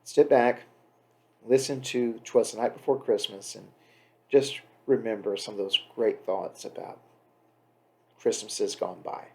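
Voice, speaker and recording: A man's voice: on the low side, unhurried, long pauses. A man giving a casual, off-the-cuff introduction as a host, chatty and emphatic with wry asides. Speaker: host introducing a poem reading.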